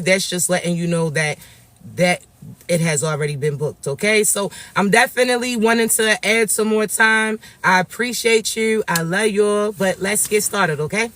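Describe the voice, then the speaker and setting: A raspy-voiced man, talking slowly and tenderly to viewers as a spiritual reader. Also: high-pitched, dry recording. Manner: soft, drawn-out, affectionate address to viewers. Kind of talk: livestream reading for viewers.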